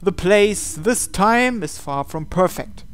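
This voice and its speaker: A man voicing a sinister, scheming old sorcerer from a visual novel, speaking in a deliberate, cold and formal way. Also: sits high, expressive, smooth. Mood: smug, calculating. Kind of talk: visual novel read-aloud.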